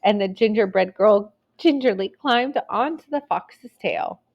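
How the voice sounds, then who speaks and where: A woman's voice: mid-range voice, measured pace, gravelly, darkish tone, dull audio. A woman narrating a children's story expressively, as if reading a picture book aloud.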